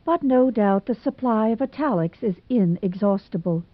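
Woman reading a calm, wry young woman, sort of dry humor, kinda muffled recording. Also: medium pitch, normal speed, dry recording, close to an everyday voice. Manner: dry, level, wryly humorous.

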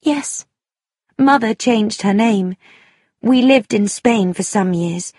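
A woman's voice: medium pitch, slow pace, darkish tone, husky, no room sound, studio quality, voice pushed up. A woman voicing an angry, bitter young woman in an audiobook, emphatic and defiant. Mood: resentful. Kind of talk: audiobook character dialogue.

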